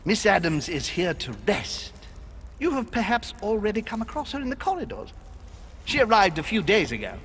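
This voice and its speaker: An actor playing a genteel, courteous aristocratic host with a courtly, theatrical manner in a video game. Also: male voice, sits high, sing-song, moderate pace, fairly bright tone, a little hiss or hum, full character voice. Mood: polite, reassuring. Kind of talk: video game cutscene acting.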